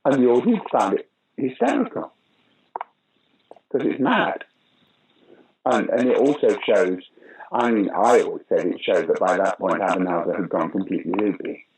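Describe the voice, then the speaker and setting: An older man, a guest actor and comedian, telling stories in an interview with sudden loud bursts of enthusiasm. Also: lowish voice, normal speed, long pauses, a little hiss or hum, rough recording. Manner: animated anecdotal storyteller with sudden loud bursts. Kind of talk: conversational interview storytelling.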